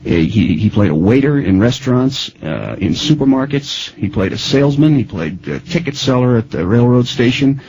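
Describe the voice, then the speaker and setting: An American man interviewing as a radio host, with a halting, deliberate way of introducing things. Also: medium pitch, animated, darkish tone, poor audio.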